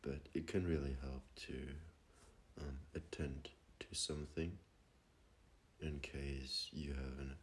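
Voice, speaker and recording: A man with an extremely low voice guides a meditation. He speaks slowly and gently, leaving plenty of pauses between his phrases. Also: level tone, a little hiss or hum. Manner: gentle, unhurried, with long pauses.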